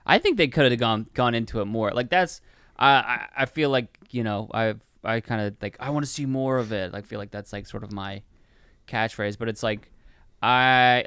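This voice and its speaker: Man, mid-pitched clear voice, rambling casual review talk, halting starts, dry recording. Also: a bit bright, no room sound. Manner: rambling, halting, heavy use of "like". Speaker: podcast co-host. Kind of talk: casual film review chat.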